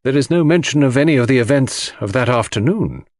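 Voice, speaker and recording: A man voicing a courteous but stern male coroner in an audiobook, asking formal, measured questions. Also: medium pitch, lively intonation, darkish tone, no room sound, studio quality, pitched above the performer's own voice, natural voice. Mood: uneasy. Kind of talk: audiobook character dialogue.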